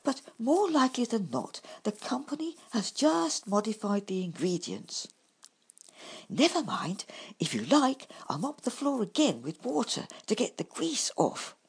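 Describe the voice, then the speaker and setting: A woman voicing a gentle, worried male miniature badger in a storybook reading. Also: a little high, sing-song, a little slow, breathy edge, voice pushed up, exaggerated voice. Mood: apologetic. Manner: kindly, worried, soft-spoken. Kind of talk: storybook character voice.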